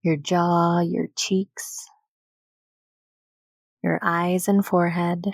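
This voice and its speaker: A woman leading a guided meditation in a gentle, soothing, unhurried manner with long pauses between phrases. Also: on the low side, flat delivery, vocal fry, no room sound, studio quality.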